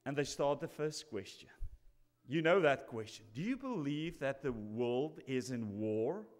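A man's voice: medium pitch, sing-song, measured pace. A man in his forties preaching from a church pulpit, telling a story and acting out both sides of the conversation. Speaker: pastor. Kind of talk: sermon. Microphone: stand mic at pulpit. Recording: long reverb of a church hall.

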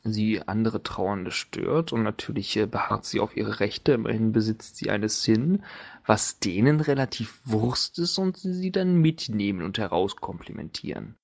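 A man narrating a tabletop role-playing game as game master, improvising in a casual, halting, colloquial way. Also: lowish voice, expressive, creaky, darkish tone.

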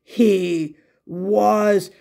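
A woman's voice: mid-range voice, fairly even intonation, extremely slow, gravelly, warm tone. An older woman, a grandmother, reading a children's picture book aloud expressively and putting questions to her young listeners. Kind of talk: animated children's storybook read-aloud.

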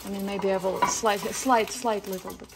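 A woman's voice: medium pitch, a little fast. A woman filming in her home kitchen, chatting and exclaiming as she handles a roast turkey. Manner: excited, laughing, exclaiming often. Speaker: vlogger. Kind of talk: casual kitchen chat while filming. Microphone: phone camera held by the speaker. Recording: noisy phone recording.

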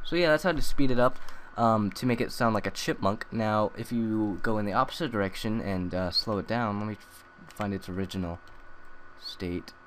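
A young man talking through a software tutorial in a loose, rambling way, full of ums and uhs. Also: lowish voice, moderate pace, slight background noise. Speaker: tutorial maker. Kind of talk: casual screen-recorded tutorial.

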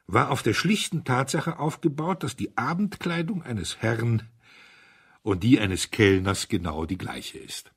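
Storybook casting: male reader as gentle priest sleuth, musing and deliberate, husky voice with sweeping intonation. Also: mid-range voice. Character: gentle, thoughtful male priest and amateur detective explaining a crime. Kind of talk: dramatized storybook monologue.

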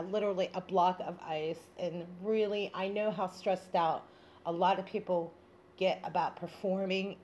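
Woman show host, lowish pitch, informal monologue, loose rambling delivery, faint background noise. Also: darkish tone.

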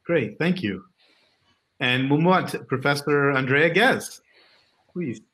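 A man's voice: on the high side, animated, slow pace, fairly bright tone. A middle-aged man, a department chair, casually welcoming new students on a video call. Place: home room on a video call.

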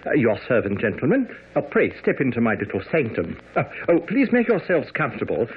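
An actor playing a fretful, nervous little gentleman hypochondriac in a radio drama, stammering and fussing. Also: mid-range voice, huge pitch swings, brisk, slight background noise. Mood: nervous, fussy. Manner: stammering, fussy, nervous chuckling. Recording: muffled old radio broadcast.

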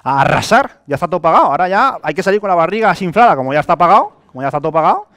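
A man in his late twenties with an accent from Spain, giving a colloquial, animated sales presentation to a live audience. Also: sits high, racing. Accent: Spain Spanish. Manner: animated, colloquial, rhetorical questions and acted-out examples. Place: hotel meeting room with a small audience, indoors.

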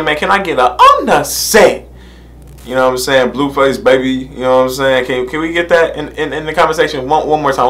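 A man's voice: a little high, a bit bright. A young man, reacting casually to a music video from a small room and talking into a desk camera. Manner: laid-back, laughs often, repeats "man" and "you know what I'm saying". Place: small room indoors, plain wall behind.

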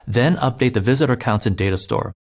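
A man giving a scripted, step-by-step narration of a software migration tutorial, speaking clearly and steadily as a voice-over. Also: mid-range voice, quick pace. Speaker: developer advocate. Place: voice-over over screen recordings.

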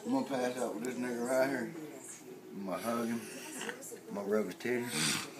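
A drunk young man joking and rambling rowdily while filming a late-night party in a small home bar room, swinging between shouts and mumbles. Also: medium pitch, steady tone, fairly quick, over a noisy crowd. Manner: rowdy, slurring, joking, often shouting or mumbling.

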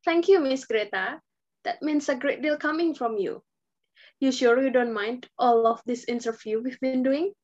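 Woman as a high-voiced, polite interviewer. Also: normal speed, a little mellow. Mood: admiring. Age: young adult. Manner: polite, deferential. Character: polite, admiring female interviewer. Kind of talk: amateur dramatic reading. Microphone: video call. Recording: dull video-call sound.

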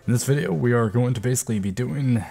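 A man's voice: mid-range voice, quick pace, gravelly, mellow. A young man in his twenties chatting casually and self-deprecatingly into a headset mic in a small room. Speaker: YouTuber doing game voice impressions. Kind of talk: casual vlog chat. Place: small room indoors at a desk.

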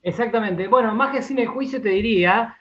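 An Argentine man with a high voice answers as an interview guest. He explains his thoughts in repeated fragments and often ends them with a short tag question. The sound is somewhat dull and lo-fi. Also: brisk, fairly bright tone. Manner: repeats words, checks in with "¿no?" and "¿sí?". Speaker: radio drama director, interview guest.

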